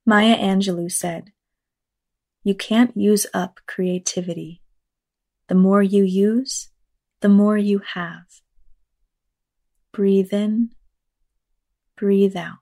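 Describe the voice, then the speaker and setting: A woman leading a guided meditation in a measured, unhurried manner, reading scripted lines with long pauses. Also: mid-range voice, fairly even intonation, a little mellow. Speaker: meditation guide, actor and teaching artist.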